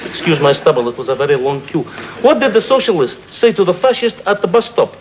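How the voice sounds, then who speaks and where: A man's voice: a little high, very animated, quick pace. A man playing a cheerful, defensive Soviet citizen with a Russian accent, delivering an earnest, stilted comic monologue. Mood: jovial, eager. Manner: earnest, declarative, stilted phrasing. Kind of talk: comic monologue to a live audience. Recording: very noisy broadcast comedy recording.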